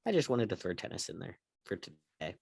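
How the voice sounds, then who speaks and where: A man's voice: low-pitched, sing-song, brisk, vocal fry, a little mellow, husky, no echo, clean studio sound. A man hosting a casual sports talk show, chatting and putting questions to his co-hosts. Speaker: sports podcast host.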